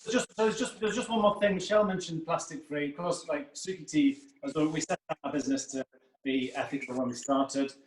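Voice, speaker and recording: A man on a video call talks about his product in a chatty, halting way, full of uhs and ums. He sits back from the mic in an echoey room, his voice a touch high. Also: distant mic, a little hiss or hum. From Northern Ireland. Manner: chatty, hesitant, uh and um fillers. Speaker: drinks company co-founder.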